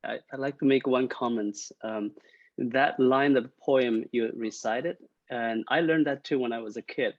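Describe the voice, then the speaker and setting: A man from the audience asking a question haltingly, with many fillers and broken phrasing. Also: mid-range voice, dry recording. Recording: dry, dull lo-fi audio.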